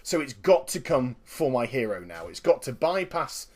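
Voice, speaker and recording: A man with a slightly croaky voice, giving upbeat, chatty commentary on his own card game match and explaining each move. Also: mid-range voice, sing-song, normal speed, darkish tone. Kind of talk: gameplay commentary.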